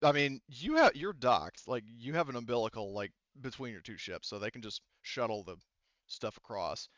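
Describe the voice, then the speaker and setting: A man narrates and rules on a tabletop roleplaying game as game master. His voice is smooth and mid-pitched, with a halting, explanatory delivery. The recording is close and dry. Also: expressive, a bit bright, no room sound, muffled recording.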